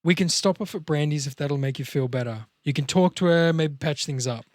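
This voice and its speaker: A man's voice: on the high side, fairly quick, dry recording, studio quality, lightly acted. A man playing a cocky, crude young slacker in a comedy table read, emphatic, sweary and coaxing.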